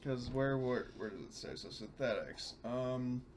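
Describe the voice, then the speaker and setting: A man's voice: mid-range voice, expressive, a little slow, background noise. A man chatting casually as a player in a tabletop game, sweary as he checks the rules. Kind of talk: casual game-session chat, checking rulebook.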